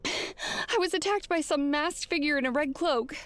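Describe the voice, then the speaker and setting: A genteel lady in distress from a mystery game, with a high-pitched voice. Her delivery is earnest and dramatic, full of anxious worry. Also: moderate pace, a bit bright, no echo. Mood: shaken, grateful. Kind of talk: theatrical game cutscene acting.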